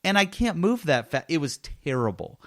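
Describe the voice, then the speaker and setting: A man co-hosting a gaming podcast, telling stories in casual chat with animated, emphatic repetition. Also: fairly high pitch, moderate pace, dry recording, studio quality. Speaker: gaming podcast co-host and reviewer. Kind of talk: casual chat, game review.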